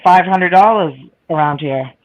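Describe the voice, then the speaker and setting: A woman with a raspy voice and a strong Boston accent, chatting casually and laughing a lot. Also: on the low side, unhurried, lo-fi sound. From Massachusetts, USA. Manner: chatty, laughs often, repeats herself. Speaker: chat room participant. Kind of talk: casual chat.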